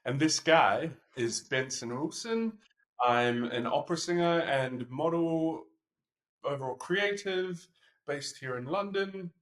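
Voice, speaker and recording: A man's voice: on the high side, expressive, slow pace, fairly bright tone. A man with a New Zealand accent, an opera singer, chatting playfully as a podcast guest. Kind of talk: casual interview chat. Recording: roomy echo.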